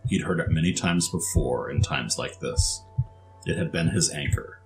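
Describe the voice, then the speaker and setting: A man's voice: rumbling low, normal speed, soft background music, low-quality recording. A man reading scripted story narration as the narrator.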